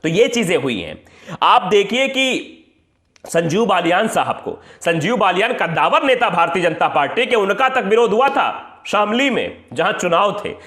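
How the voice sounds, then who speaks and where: A male news presenter with a high, very lively voice delivers political commentary. He speaks emphatically and colloquially, throwing out rhetorical questions. The sound has a strong room echo. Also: normal speed. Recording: echoey room.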